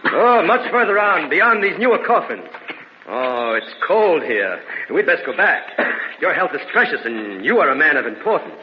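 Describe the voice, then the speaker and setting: A man's voice: on the high side, big pitch swings, moderate pace. An actor playing a vengeful, grieving and slyly courteous nobleman in an old-time radio gothic drama. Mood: falsely solicitous. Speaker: narrator and lead actor. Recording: muffled, noisy old radio recording.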